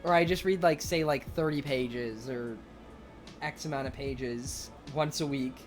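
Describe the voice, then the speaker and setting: A young man streaming, chatting casually and excitably with viewers, rambling with lots of fillers. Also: medium pitch, a little slow, soft background music. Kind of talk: casual chat reading viewer comments.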